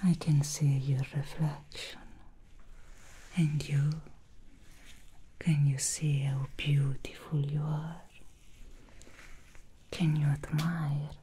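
A woman whispering as a slow, coaxing demon stylist. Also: low voice, level tone, mellow, a little hiss or hum. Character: seductive female demon hair stylist. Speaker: ASMR roleplay stylist. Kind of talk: ASMR roleplay.

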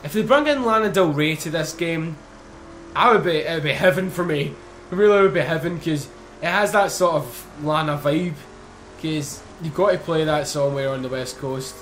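Excitable young gamer guy. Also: on the high side, moderate pace. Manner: chatty, excitable, swears and laughs freely. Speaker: gaming YouTuber. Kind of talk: casual gameplay commentary. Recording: faint game engine noise behind.

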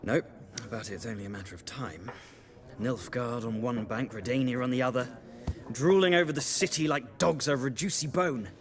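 An actor playing a pompous, excitable male scholar in a video game, speaking in a flowery, theatrical way. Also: medium pitch, normal speed, lightly acted. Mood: proud. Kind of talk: video game cutscene acting.

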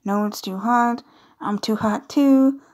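A woman's voice: a little high, slow, noisy background. A woman doing casual, playful gameplay commentary, reading on-screen dialogue aloud with chatty, amused asides. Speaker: gaming video creator.

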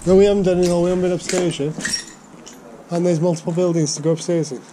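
Higher-voiced man, noisy recording. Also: steady tone, fast. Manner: informal, matey, sweary. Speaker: urban exploration video host. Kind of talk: casual chat while exploring. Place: inside an empty derelict school. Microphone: action camera carried by him. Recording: very noisy action-camera audio.

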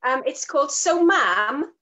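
A woman in her forties with a Welsh accent, a guest poet reading her work and chatting softly on a video call from a room at home. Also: sits high, animated, a little slow. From Wales. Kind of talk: poetry reading and chat.

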